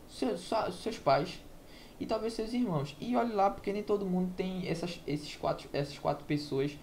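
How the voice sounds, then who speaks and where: A man's voice: fairly high pitch, darkish tone. A man hosting a self-help monologue. He talks casually and preachily and keeps tossing in rhetorical questions. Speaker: self-help channel host. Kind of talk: motivational monologue to camera. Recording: faint background noise, lo-fi app.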